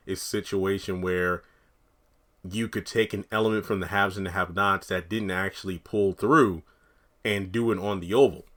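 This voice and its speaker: Brief: lower-pitched man, raspy morning voice, chatty rambling commentary. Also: steady tone, normal speed, fairly bright tone. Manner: rambling, chatty, thinks aloud. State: just woken up. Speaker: TV commentary YouTuber. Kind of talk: casual speculative commentary.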